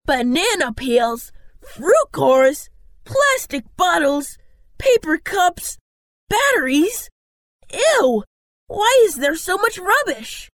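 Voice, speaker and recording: A woman's voice: sits high, big pitch swings, takes it slowly, no echo, clean studio sound, heavily acted. A woman voicing a big, boastful young male elephant in a children's story. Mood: disgusted. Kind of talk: children's animated story acting.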